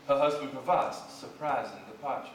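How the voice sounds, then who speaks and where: Male ensemble reader, mid-pitched, dramatic delivery, distant and heavily reverberant. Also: moderate pace, background noise. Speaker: reader in a live staged reading. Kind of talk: dramatic literary reading in short interjections. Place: live theater performance. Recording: very echoey hall, distant.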